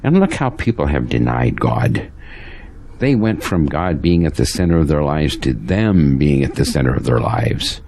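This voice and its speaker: A man's voice: a bass voice, very animated, moderate pace, creaky, darkish tone, a little hiss or hum, exaggerated voice. A man acting as a devout, troubled pastor in an audio drama, speaking with earnest, preachy conviction. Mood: grave, disapproving.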